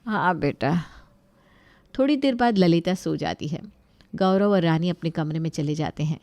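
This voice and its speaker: A woman's voice: fairly low pitch, expressive, normal speed, mellow, dry recording. A woman narrator reading a scripted family story.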